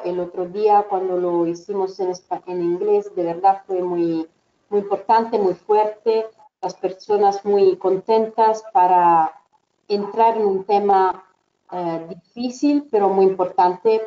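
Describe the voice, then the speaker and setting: Mellow-voiced woman hosting a webinar. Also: mid-range voice, highs cut off, a little hiss or hum. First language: not Spanish. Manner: hesitant, repetitive, many fillers. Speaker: webinar host, moderator. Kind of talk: online webinar moderation.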